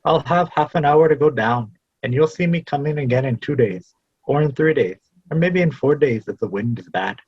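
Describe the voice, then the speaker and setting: A man's voice: medium pitch, normal speed, slightly rough, no room sound, dull audio. A man playing a firm, practical young seafaring son in a stage play. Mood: resolute, hurried. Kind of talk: stage drama read as virtual play.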